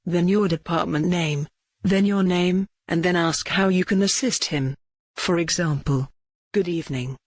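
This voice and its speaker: A tutorial narrator reading scripted instructions in a measured, formal way. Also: medium pitch, no echo.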